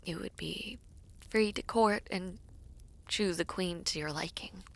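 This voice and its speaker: Slow-spoken, tender young princess played by a woman. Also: lowish voice, gravelly. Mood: tender, earnest. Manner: formal, measured, hesitant phrasing. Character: devoted royal princess villainess, tender and formal. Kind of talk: intimate audio roleplay acting.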